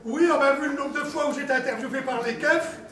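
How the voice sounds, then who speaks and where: A man's voice: very high-pitched, fairly quick, fairly bright tone. A man playing a cheeky, cocky petty crook. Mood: teasing. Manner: joking, cocky slangy banter. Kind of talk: film comedy acting. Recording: echoey room, far mic, faint hiss.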